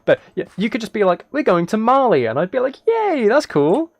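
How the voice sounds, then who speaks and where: A man talking casually and softly as a live streamer, thinking aloud in a rambling, relaxed way while he plays a game. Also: high voice, fairly quick, dry recording. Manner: soft-spoken, relaxed. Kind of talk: casual livestream chat while gaming.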